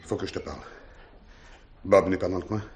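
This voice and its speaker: An actor playing a worried, secretive conspirator in a French radio play. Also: male voice, sits low, fairly even intonation, a little fast, darkish tone, slight background noise. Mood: tense, confiding. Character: secretive, worried male conspirator confiding in his accomplice.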